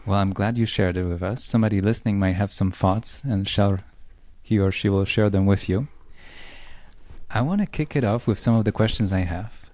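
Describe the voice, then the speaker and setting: A man's voice: fairly low pitch, normal speed, a little mellow. A man from Poland, a podcast host musing thoughtfully in conversational interview style. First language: Polish. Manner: thoughtful, laughs lightly, reflective.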